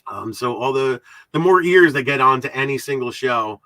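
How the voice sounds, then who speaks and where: A man hosting a podcast in casual, rambling, halting talk, often repeating his words and swearing freely. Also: medium pitch, animated, sharp tone, no echo. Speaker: podcast host.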